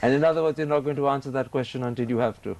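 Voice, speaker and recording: A man's voice: mid-range voice, rapid-fire, a little mellow. A male interviewer asking direct, probing questions on a TV interview show.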